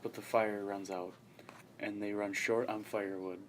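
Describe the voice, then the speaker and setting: A man's voice: lowish voice, little pitch movement, moderate pace. A man reading scripted narration for a suspense radio play. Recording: noisy amateur recording with background ambience.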